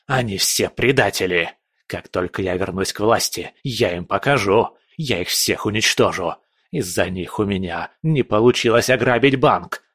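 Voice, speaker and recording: A man voicing a cowardly, hot-tempered prince who rants and shouts in fury. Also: on the low side, moderate pace, husky, dry recording, studio quality. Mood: furious. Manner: shouting, ranting, furious.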